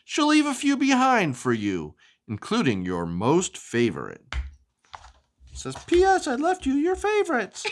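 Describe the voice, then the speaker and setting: A woman hosting a children's read-aloud, sing-song and expressive, who asks the child questions. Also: on the high side, unhurried, a little hiss or hum. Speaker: host reading storybooks to a child.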